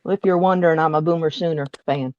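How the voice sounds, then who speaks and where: A woman's voice: sits low, steady tone, quick pace, no room sound, dull audio. A woman from Delaware joining as a special guest over a video call, easygoing and joking, laughing often. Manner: easygoing, jokey, laughs a lot. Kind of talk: casual chat over a call.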